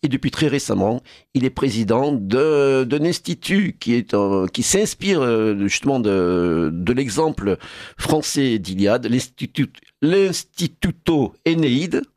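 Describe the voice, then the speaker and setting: A man with a Languedoc French accent hosting a radio talk show in a studio, speaking in a measured, hesitant way with many fillers. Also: mid-range voice, animated, darkish tone, no room sound. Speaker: radio host. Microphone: studio microphone.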